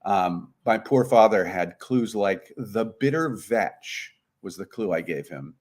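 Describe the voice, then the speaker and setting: Man, lower-pitched voice, interview guest, conversational, explanatory. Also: lively intonation, slow, a little mellow. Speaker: guest, puzzlemaker.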